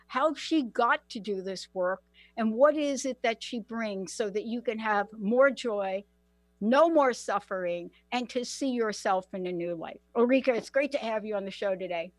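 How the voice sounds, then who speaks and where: Woman radio host, gushing and animated, kinda high voice, dry close sound. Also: dry recording. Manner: animated, effusive, repeats herself. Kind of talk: radio interview.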